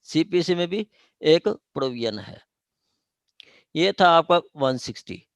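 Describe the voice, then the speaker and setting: A man with a Pakistani accent explaining law to a class informally and haltingly, often checking that they follow. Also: fairly high pitch, expressive, no echo. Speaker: law lecturer. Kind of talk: informal classroom legal explanation with question and answer.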